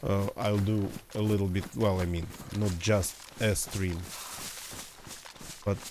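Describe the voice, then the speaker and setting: Slow, slightly nasal man streaming. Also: fairly low pitch, flat delivery, noisy background. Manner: rambling, self-correcting. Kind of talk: casual gameplay commentary.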